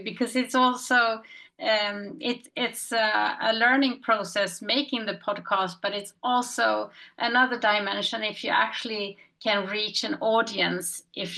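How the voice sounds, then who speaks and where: A woman moderates an online panel discussion. She asks long, winding questions full of uhs. Her voice is gravelly yet bright, and she comes through a video call. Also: medium pitch.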